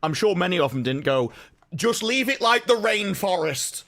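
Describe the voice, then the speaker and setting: A man's voice: high-pitched, lively intonation, moderate pace, fairly bright tone, dry recording. A man, by his words, co-hosting a casual livestream chat, ranting sarcastically and acting out mock quotes. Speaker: co-host.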